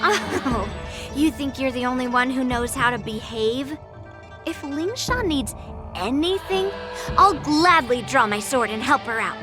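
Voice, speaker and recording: A woman's voice: sits high, expressive, measured pace. A woman playing a cocky, blunt teenage swordswoman in a video game, with a smug, needling delivery. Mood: bored, competitive. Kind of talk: scripted anime-style video game dialogue. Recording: background music under dialogue.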